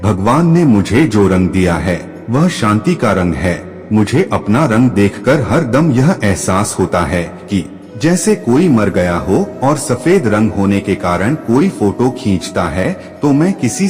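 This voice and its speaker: A man's voice: fairly low pitch, darkish tone. A man voicing a plaintive, troubled swan. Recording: reverberant with faint music.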